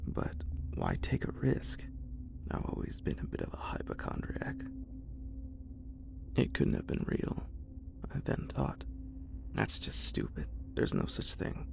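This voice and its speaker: A man reading a horror story in a breathy ASMR whisper, in a deliberate, literary storytelling manner. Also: rumbling low, wildly swooping pitch.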